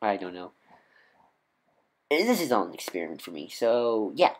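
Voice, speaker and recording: A man with a nasal voice giving casual, off-the-cuff fan commentary as a vlogger, rambling and halting as he corrects himself. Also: lowish voice, very animated. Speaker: YouTube vlogger and podcaster.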